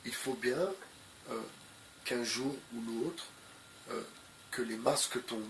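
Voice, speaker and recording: A man's voice: medium pitch, slow, fairly bright tone, slight background noise, rough recording. A man with a cold giving unscripted commentary to his viewers, hesitant and full of fillers, often repeating the same phrases. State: has a cold. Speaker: video commentator.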